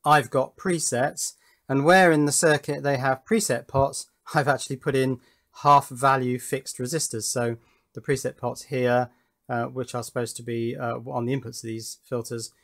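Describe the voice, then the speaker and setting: A man's voice: medium pitch, fairly even intonation, moderate pace, fairly bright tone. A man hosting a hobby electronics video, talking through his build unscripted in a matter-of-fact, technical and self-critical way. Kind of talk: unscripted workbench explanation.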